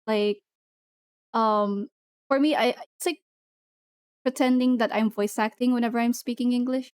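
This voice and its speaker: A young Filipino woman on a stream, talking casually in slow, careful English. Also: on the high side, steady tone, darkish tone, clean tone, no echo. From Philippines. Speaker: streamer guest. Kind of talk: casual collab stream chat.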